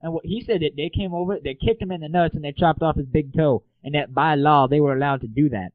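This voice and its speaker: A man's voice: a little high, quick pace, no echo. A man hosting an internet comedy radio show, talking casually in crude slang. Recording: lo-fi, phone-like narrowband audio.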